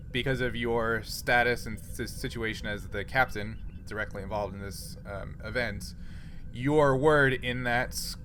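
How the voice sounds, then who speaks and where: A man with a bright, forward voice of average pitch runs a tabletop role-playing game. He narrates scenes and rules on actions conversationally, in an informal tone, over faint background noise. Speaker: game master. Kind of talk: improvised narration and rulings in a tabletop sci-fi game.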